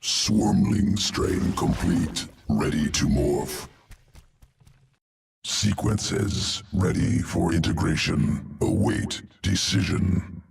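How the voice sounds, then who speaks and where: A man's voice: drawn-out words, noisy background, heavily acted. An actor playing a detached male alien creature who engineers flesh, speaking in terse broken phrases. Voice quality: gravelly, very deep. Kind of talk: video game cutscene.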